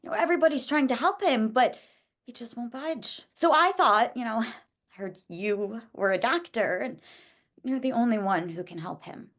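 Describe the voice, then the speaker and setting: A woman's voice: a little high, animated, normal speed, fairly bright tone. A woman playing an earnest, easily exasperated young student teacher in a script table read. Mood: earnest, pleading.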